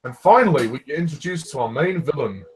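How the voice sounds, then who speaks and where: A man's voice: on the high side, animated, fast talker, rough recording. A man giving casual, sarcastic fan commentary over a movie, blunt and given to swearing.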